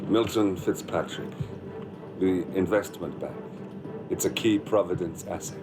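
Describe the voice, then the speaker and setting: Deep-voiced secret agent in a game, dry, terse, determined, loud music going. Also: male voice, steady tone, unhurried. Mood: grim. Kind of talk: cinematic game dialogue.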